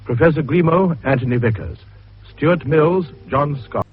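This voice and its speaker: A man's voice: a little high, normal speed. A British man announcing formally in the style of a 1950s radio broadcast. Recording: muffled 1950s radio recording.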